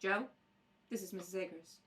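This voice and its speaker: A woman playing a calm, businesslike policewoman with an understated, clipped delivery in a radio crime drama, recorded on a distant home microphone. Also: medium pitch, normal speed, voice pushed down, lightly acted.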